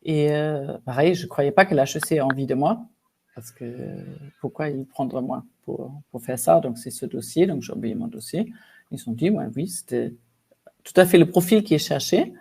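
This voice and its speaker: A woman in her fifties from Germany with a German accent, a coach telling her life story as a podcast guest, lively with many fillers. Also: extremely low pitch, normal speed, creaky, husky. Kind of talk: casual storytelling interview.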